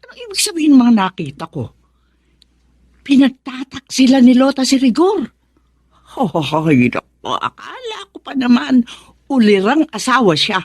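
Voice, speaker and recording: A woman's voice: high voice, very animated, normal speed, darkish tone, breathy edge, dry recording, studio quality, exaggerated voice. An actress playing a nosy, scatterbrained middle-aged wife and mother who gossips and exclaims to herself in a Tagalog radio drama. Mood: scandalized gossiping.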